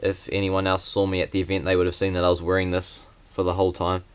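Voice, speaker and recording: A young man, speaking in a deep voice that barely moves in pitch. He talks plainly and haltingly, with many fillers, as he shows things to a camera. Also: monotone, smooth. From New Zealand. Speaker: vlogger. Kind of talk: casual unboxing vlog.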